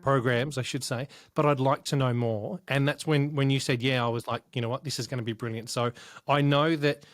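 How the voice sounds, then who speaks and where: A man's voice: medium pitch, steady tone, fairly quick, no echo, clean studio sound. A man hosting a podcast interview, talking enthusiastically in long rambling turns. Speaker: podcast host.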